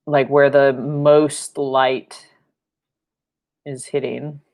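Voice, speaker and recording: Young woman, low voice, slow and rambling, painting instructor talking through a home live stream. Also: little pitch movement, a little mellow. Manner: rambling and chatty, with fillers and playful sound effects. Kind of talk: live-stream painting lesson with chatty asides. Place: home studio room, indoors.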